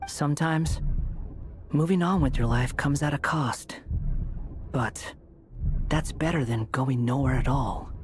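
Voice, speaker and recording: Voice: medium pitch, unhurried, pauses often, soft background music, close to an everyday voice. An actor playing a cool, reserved, worldly-wise companion in a video game, understated and matter-of-fact. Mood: reserved, reflective. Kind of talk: video game character acting.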